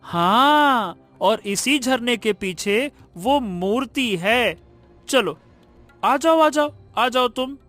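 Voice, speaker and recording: A woman's voice: on the high side, animated, very slow, fairly bright tone, soft background music, full character voice. A woman voicing a coaxing male talking parrot in a cartoon story, repeating invitations to follow. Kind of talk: cartoon moral story acting.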